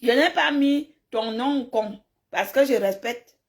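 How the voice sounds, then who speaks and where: A woman speaks to viewers on a live stream in a fairly high voice. She takes her time, drawing her points out slowly. Her delivery is blunt and scolding, and she repeats phrases for emphasis. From francophone Africa, grew up in a village. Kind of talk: casual live stream chat, replying to viewers' comments.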